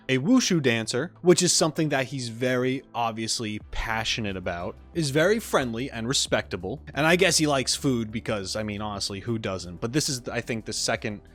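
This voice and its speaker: Casual male gamer with lively, swinging pitch. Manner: informal gamer slang. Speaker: host, animator reacting to game videos. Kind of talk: casual chatty reaction commentary.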